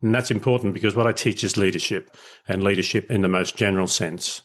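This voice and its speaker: A man's voice: on the low side, fast. A middle-aged Australian man with an Australian accent, speaking as a guest over a call and explaining his work at length in a reflective, easygoing way. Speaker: guest, leadership educator. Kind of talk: casual interview over a call.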